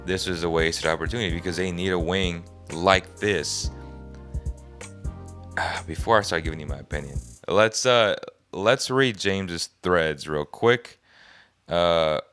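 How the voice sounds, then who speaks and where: Raspy deep-voiced man, hoarse from a cold. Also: a bit bright. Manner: frustrated, emphatic, thinking aloud. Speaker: podcast host. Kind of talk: sports opinion commentary.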